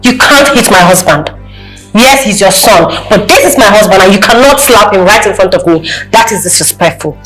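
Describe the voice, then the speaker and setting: Woman doing a bold young wife, firm, pitch bouncing a lot. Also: lively intonation, normal speed, fairly bright tone, faint music behind, close to an everyday voice, low-quality recording. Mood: defiant. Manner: firm and outspoken. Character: young wife, outspoken and defiant.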